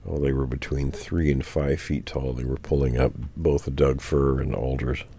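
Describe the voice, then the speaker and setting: A man's voice: very deep voice, fairly even intonation, normal speed, gravelly, dark tone. A man casually recounting outdoor finds in a rambling, self-correcting way. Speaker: guest recounting field experiences. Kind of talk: casual conversation.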